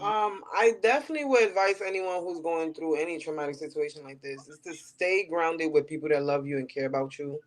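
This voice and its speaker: A woman's voice: low-pitched, fairly even intonation, fairly quick, hardly any pauses, low-quality recording. A woman in her late thirties with a New York accent, speaking candidly as an interview guest and repeating phrases for emphasis. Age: late 30s. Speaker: podcast guest.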